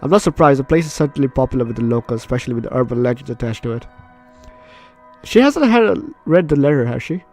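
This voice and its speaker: A man's voice: mid-range voice, animated, quick pace, a little mellow. A man hosting a visual novel let's play, reading narration aloud with goofy, informal asides and stumbles. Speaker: gaming video host. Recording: game music behind the voice.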